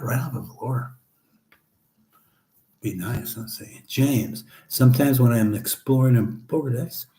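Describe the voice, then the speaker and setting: A middle-aged man hosting a casual live chat, reading viewer comments aloud and answering them in a relaxed, chatty way. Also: medium pitch. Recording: echoey room.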